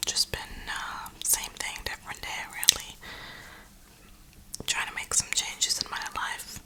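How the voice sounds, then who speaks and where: A woman host giving a slangy, reflective ASMR ramble in a breathy whisper. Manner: slangy, reflective, unhurried pauses. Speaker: ASMR gaming video host.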